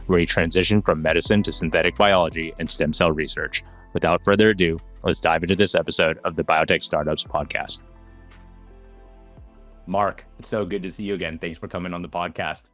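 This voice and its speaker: Low voiced american guy hosting a podcast, halting chatty questions, some bg music. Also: a bit bright. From United States. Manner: hesitant, many fillers and restarts in questions. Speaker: podcast host. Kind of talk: casual interview chat.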